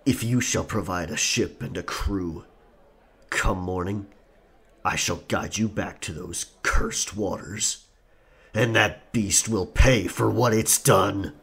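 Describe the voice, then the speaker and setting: Slow, husky, vengeful captain. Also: male voice, sits low, fairly bright tone, exaggerated voice. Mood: resolved. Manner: slow, theatrical, archaic seafaring speech. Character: vengeful, grief-stricken old pirate captain, widowed father. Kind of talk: dramatic pirate roleplay audio.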